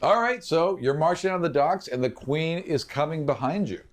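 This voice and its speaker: A man narrating a tabletop fantasy game as game master, in an animated, conversational way. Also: fairly high pitch, normal speed.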